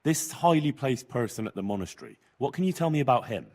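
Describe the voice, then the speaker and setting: An actor playing a dutiful young squire who speaks earnestly in a video game cutscene. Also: male voice, mid-range voice, animated, fast talker, a little mellow.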